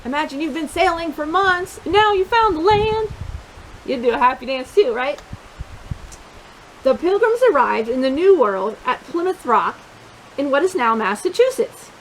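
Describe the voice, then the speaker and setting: A woman reading a picture book aloud for young children, expressive and encouraging, pausing to put questions to her listeners. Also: high-pitched, a little hiss or hum, rough recording. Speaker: children's storytime reader.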